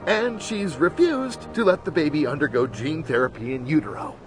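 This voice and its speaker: A man's voice: on the high side, animated, moderate pace, music in the background, natural voice. A man playing a worried, insistent brother-in-law in a video game drama. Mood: pleading.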